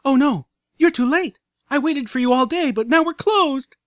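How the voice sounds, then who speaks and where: A man voicing an excitable young pink filly who squeals, giggles and bounces as she talks. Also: sits high, moderate pace, no echo, studio quality, voice pushed up. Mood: excited. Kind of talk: audiobook character reading.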